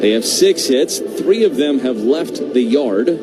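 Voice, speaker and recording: A man doing live radio baseball play-by-play, conversational between pitches and excitable on the big calls. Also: a little slow, loud music behind the voice. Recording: noisy live radio broadcast feed.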